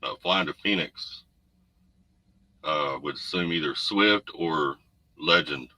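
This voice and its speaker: Deep-voiced laid-back trucker man. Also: slow pace, bright, forceful tone, no room sound. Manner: laid-back, rambling, reads viewer comments. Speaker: trucker livestream host. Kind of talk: casual livestream chat. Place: home room. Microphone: desk USB condenser mic. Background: noise.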